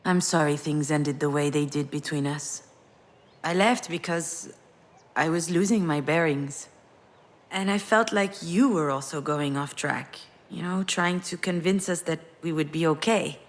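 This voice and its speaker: A woman's voice: low voice, a little slow. An actress playing a wistful, wounded woman parting from her ex, speaking in a reflective, intimate way in a naturalistic game performance. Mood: pained, firm. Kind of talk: naturalistic visual novel voice acting.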